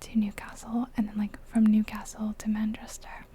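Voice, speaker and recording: A woman's voice: mid-range voice, monotone, normal speed, warm tone, slight background noise. A woman whispering her way through a game in a chatty, rambling ASMR style. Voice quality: breathy unvoiced whisper. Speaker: ASMR gaming creator. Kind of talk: whispered ASMR gameplay commentary.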